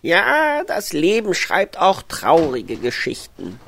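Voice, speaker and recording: Eine Frau spielt in einem Hörspiel einen freundlichen alten, aus Polen stammenden Gärtner, großväterlich und gemächlich plaudernd. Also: female voice, lowish voice, huge pitch swings, gravelly, a bit bright, heavily acted. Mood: sad, reflective. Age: older. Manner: grandfatherly, unhurried, chatty. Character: kindly old Polish-born male gardener, widowed, reminiscing. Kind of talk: scripted audio drama acting.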